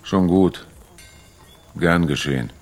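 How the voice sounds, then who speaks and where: A country storekeeper in a radio drama, a man with a very low voice. He is laconic and gruff, yet obliging in an offhand way. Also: takes it slowly. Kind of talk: radio drama acting in German.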